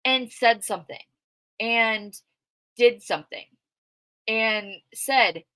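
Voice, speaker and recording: A loud, outspoken woman talking through a livestream in a casual, conversational way and answering her chat as she goes. Her voice is bright and forceful, and it sits close and dry with no room sound around it. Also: on the high side, slow, dry recording. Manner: conversational with frequent fillers. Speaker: true crime commentator reading live chat.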